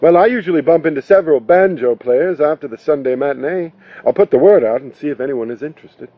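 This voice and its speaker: Man voicing a breezy, husky banjo entertainer. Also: a little high, quick pace, no echo, phone-quality sound, natural voice. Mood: sympathetic. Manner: breezy, folksy, friendly. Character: affable, wisecracking male banjo entertainer, eager to help.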